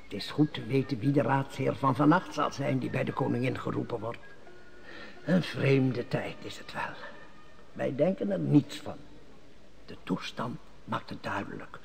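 A man's voice: mid-range voice, moderate pace, vocal fry, a little mellow. An actor playing a scheming male court elder who speaks in sly riddles, in an old radio play. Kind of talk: 1950s Dutch radio drama acting.

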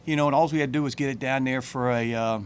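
Middle-aged man, football coach, postgame presser, plain halting talk, table mic, faint room hiss. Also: mid-range voice, fairly even intonation. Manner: plain-spoken, halting with many fillers and restarts. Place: press room with branded backdrop. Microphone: table mic on a stand.

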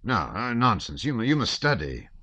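A man voicing an excitable, patriotic older nobleman and father who blusters loudly. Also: on the low side, normal speed, dry recording, voice pushed up, heavily acted. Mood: excited blustering.

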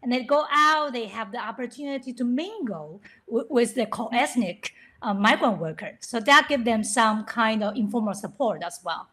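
A woman speaking as a webinar panelist on a video call, measured, with some repetitions. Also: fairly high pitch, lively intonation, pushed and bright. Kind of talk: webinar discussion.

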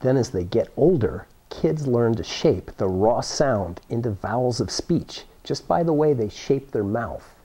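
A man's voice: medium pitch, expressive, measured pace, hardly any pauses, warm tone, slight background noise. A middle-aged American man presenting a science how-to demonstration, explaining step by step on a lapel microphone. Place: school workshop or lab room, indoors.

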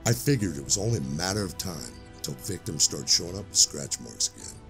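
An actor playing a world-weary old police sergeant in an audio drama, with brooding, measured delivery over background music. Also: male voice, sits low, sing-song, creaky, fairly bright tone, heavily acted. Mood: somber. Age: older. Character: grizzled, street-hardened old police sergeant, world-weary, days from retiring. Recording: music bed under the voice.

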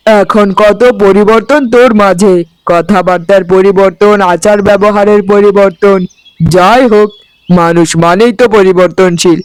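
An actor playing a dutiful, tender and hurt elder brother, speaking earnestly in a melodramatic cartoon story. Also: male voice, sits high, smooth, no echo, low-quality recording. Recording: music under the voice.

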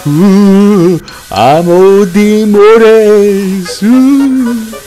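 A man's voice: high-pitched, drawn-out words, dark tone, soft background music, voice pushed up. A man voicing a jovial, teasing male steam locomotive with a Scottish accent in a children's story. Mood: mock spooky.

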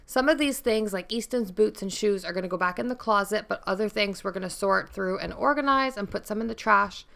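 A woman's voice: mid-range voice, fairly quick, gravelly, a bit bright. A woman in her thirties giving a chatty, upbeat voice-over for a home cleaning vlog. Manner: chatty, upbeat, explains each step. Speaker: vlogger.

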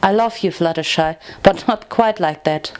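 A woman voicing a caring, reassuring young female pony leader in a story, speaking earnestly and gently. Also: fairly low pitch, moderate pace, clean tone, natural voice, poor audio.

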